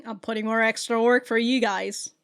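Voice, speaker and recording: A woman's voice: a little high, fairly even intonation, moderate pace, fairly bright tone, smooth. A woman hosting an anime reaction channel, giving casual review commentary. She is chatty, swears freely, laughs often and thinks aloud with frequent restarts.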